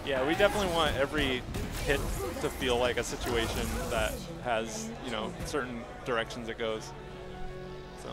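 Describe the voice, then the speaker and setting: Laid back game dev guy chatting in a stream interview, music clearly playing behind him. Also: medium pitch, moderate pace. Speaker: video game developer being interviewed. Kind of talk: casual interview chat on a livestream. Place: gaming event venue.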